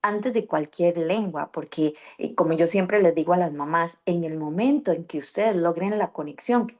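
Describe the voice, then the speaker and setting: A woman's voice: fairly low pitch, moderate pace. A woman hosting a podcast interview on raising bilingual children, speaking reflectively with many fillers and restarts. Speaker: podcast host and bilingual parenting coach. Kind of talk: conversational interview.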